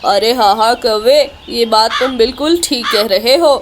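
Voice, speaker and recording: Woman as an emphatic high-voiced cartoon jungle creature. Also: moderate pace, background noise, natural voice. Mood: brisk. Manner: exclamatory, emphatic. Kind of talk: Hindi cartoon story dialogue.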